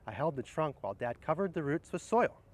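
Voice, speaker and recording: A middle-aged man with a slightly higher-pitched voice shares children's picture books outdoors. He talks straight to the viewer in a gentle, explanatory manner, suited to reading aloud and casually recommending books. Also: very animated, fairly quick. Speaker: horticulturist presenting children's books. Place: outdoors under trees in an arboretum garden.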